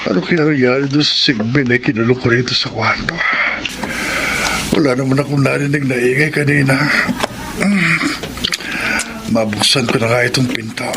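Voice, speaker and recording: A man's voice: medium pitch, normal speed, pauses often, heavy background noise, natural voice. An actor playing a stressed, uneasy young male office worker with a Filipino accent in a radio horror drama. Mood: wary.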